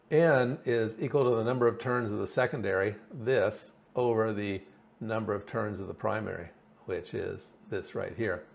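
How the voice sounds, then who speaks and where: A man giving an informal technical explanation as a hobbyist presenter, thinking aloud in a halting way. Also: on the low side, darkish tone. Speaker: hobbyist presenter explaining his radio build. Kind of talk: informal technical explanation over slides and diagrams.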